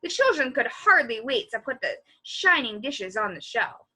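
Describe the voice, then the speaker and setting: A woman reading a children's story aloud as a library storyteller, in an expressive, animated way. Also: fairly high pitch. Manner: animated, pausing to comment on the story.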